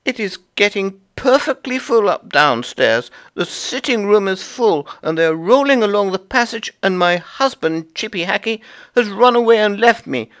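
Storybook figure: a man doing a fussy little female chipmunk, high and squeaky, ruffled and indignant. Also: lively intonation, normal speed, a bit bright. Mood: flustered. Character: flustered chattering female chipmunk wife.

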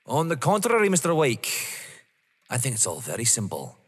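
An actor playing a methodical, smugly polite police inspector in an audio drama, formal and insinuating. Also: male voice, medium pitch, wildly swooping pitch, moderate pace, slightly rough. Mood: accusing. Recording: long hall-like reverb.

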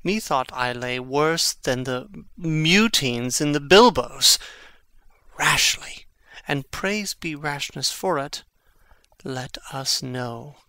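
An actor playing an intense, brooding young prince in a classical Shakespearean dramatic reading, with theatrical, emphatic phrasing. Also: male voice, mid-range voice, takes it slowly, sharp tone, smooth, no echo. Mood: reflective, confiding.

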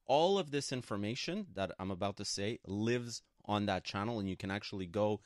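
A man's voice: on the low side, lively intonation, normal speed, no room sound, clean studio sound. A man co-hosting a conversational radio advice show, speaking in an emphatic, assertive way and repeating points for stress. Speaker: radio show co-host and broker. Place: radio studio.

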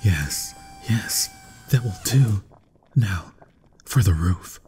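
Obsessed mad scientist, low-pitched, slow dramatic pacing, grandiose, half-whispering, gothic horror ASMR roleplay. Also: male voice, animated, darkish tone. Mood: hushed anticipation. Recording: thunderstorm and rain noise. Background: rain and thunder ambience.

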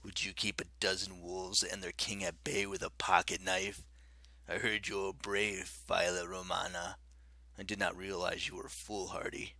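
Brief: man playing a sneering werewolf lord in an audiobook, low, gravelly, crisp and dry. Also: little pitch movement, unhurried, no echo, exaggerated voice. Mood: menacing. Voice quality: low, quiet, silky menace. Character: menacing ancient werewolf king, mocking.

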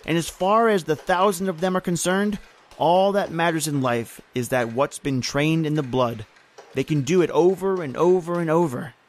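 A man's voice: on the high side, moderate pace, natural voice. Man acting a grim, taunting island overseer. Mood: bitter, taunting. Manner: folksy, bitter, uses "aye" and "ain't". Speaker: actor in audio horror drama. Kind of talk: old-time radio horror drama acting.

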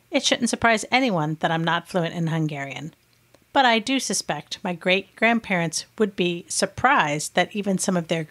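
A middle-aged woman with an American accent hosts a podcast in a fairly low voice. She reads from a script and explains things clearly and patiently. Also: a bit bright. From United States. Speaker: podcast host.

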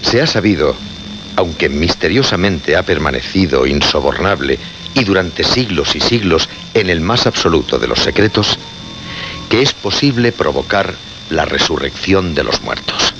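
Solemn male horror-show host, deliberate and theatrical, on a muffled, noisy radio recording. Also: low voice, expressive. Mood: grave, enticing. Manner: deliberate, dramatic pauses and repetitions. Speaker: radio actor. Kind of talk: radio horror drama acting.

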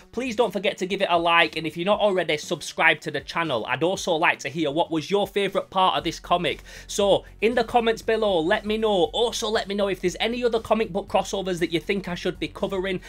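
Bright-voiced young man reviewing horror comics. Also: high voice. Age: young adult. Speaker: YouTube comic book reviewer. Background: background music.

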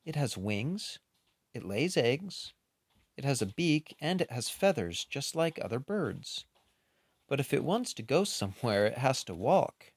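Unhurried, lively man reading aloud. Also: mid-range voice, breathy edge, no echo. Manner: expressive, unhurried, with playful asides. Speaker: picture book reader. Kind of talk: children's book read-aloud with casual asides.

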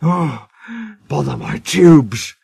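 Man voicing a plucky old steam engine, slow and swooping. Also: fairly high pitch, animated, vocal fry, darkish tone, husky, dry recording, full character voice. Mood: weary, wheezing. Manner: scolding, panting, effortful.

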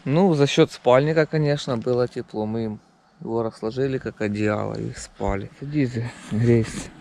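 A man's voice: mid-range voice, normal speed, creaky, a little mellow, a little hiss or hum. A man narrating a casual camping vlog outdoors, talking to viewers. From Ukraine. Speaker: camping vlogger. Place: outdoors at a forest campsite.

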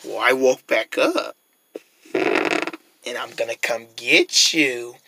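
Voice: mid-range voice, slow pace, background noise, voice pushed down. A performer voicing a menacing female zombie robot pig that stutters on syllables like a glitching machine, in a homemade toy story.

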